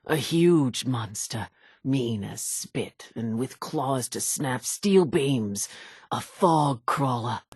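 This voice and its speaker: A woman's voice: very deep voice, animated, takes it slowly, darkish tone, slightly rough, dry recording, clean studio sound, heavily acted. An actress playing an older island woman in a game, a folksy, dramatic storyteller who mourns her family and wants vengeance. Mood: ominous storytelling. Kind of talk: scripted game character dialogue, theatrical storytelling.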